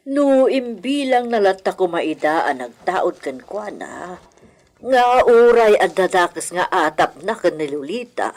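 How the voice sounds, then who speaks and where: An actress playing an elderly, dignified hacienda matriarch in a Filipino radio comedy-drama, wistful and solemn, with a Filipino accent. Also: female voice, on the low side, big pitch swings, unhurried, darkish tone. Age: older.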